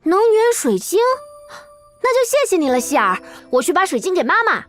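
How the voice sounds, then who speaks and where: A woman voicing an eager, polite little village child in an animated drama. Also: very high-pitched, moderate pace, faint music behind. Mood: flustered, grateful.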